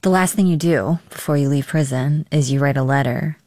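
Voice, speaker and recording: A woman conducting a broadcast interview, asking measured, probing questions. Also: sits low, a little mellow, no room sound.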